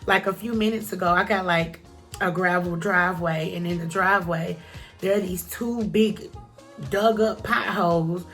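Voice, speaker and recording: A woman's voice: lowish voice, fairly even intonation, normal speed, a bit bright, soft background music. A woman talking casually to camera as a vlogger, chatty and encouraging, with a stuffy nose.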